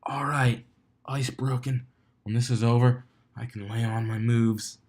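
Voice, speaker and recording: A man voicing an awkward, self-doubting young male cartoon pony, halting and muttering to himself as he rehearses. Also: medium pitch, steady tone, darkish tone, natural voice.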